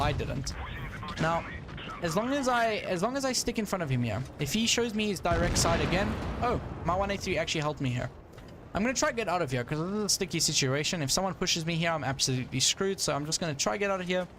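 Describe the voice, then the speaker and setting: This is a young man with a South African accent, his voice sitting slightly high. He chats loosely with his viewers while playing, thinking out loud and restarting his sentences. A faint background noise hums under the recording. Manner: casual, thinks aloud, many ums and restarts. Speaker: streamer. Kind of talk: live gameplay commentary, chatting with viewers.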